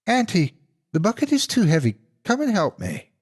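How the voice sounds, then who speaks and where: A man's voice: sits high, wildly swooping pitch, normal speed, slightly rough, pitched above the performer's own voice, exaggerated voice. A man voicing a cautious young boy in a folktale, calling out and pleading. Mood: feigned helplessness.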